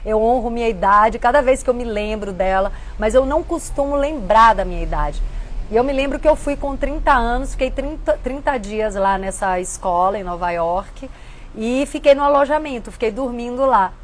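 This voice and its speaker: A woman's voice: a little high, expressive, normal speed, poor audio. Woman, 50s, host of a reflective chat show, earnest and conversational, faint noise under the voice. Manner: warm, earnest, conversational with frequent "né". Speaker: host answering viewer questions. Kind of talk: casual reflective talk.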